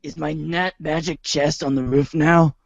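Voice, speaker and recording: A performer playing a bemused young kid in a fan script reading, casual and deadpan. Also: mid-range voice, measured pace, vocal fry, no echo, highs cut off.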